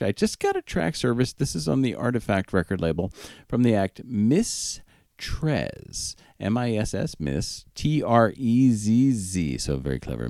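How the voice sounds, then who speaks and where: A man's voice: lowish voice, gravelly, warm tone, no echo, studio quality. A man hosting a radio music show, chatting casually and informally as he announces tracks.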